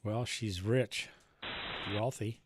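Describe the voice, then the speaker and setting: A man's voice: lowish voice, fairly even intonation, slow pace. A man hosting a ham radio station, chatting casually and explaining things in an easygoing way. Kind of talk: casual on-air chat. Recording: heavy hiss from radio gear.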